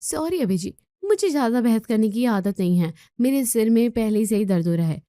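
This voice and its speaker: A woman's voice: a little high, expressive, normal speed, mellow, dry recording, clean studio sound, close to an everyday voice. A woman voicing a lazy young daughter-in-law who protests and makes whiny excuses in a storybook tale. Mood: sulky.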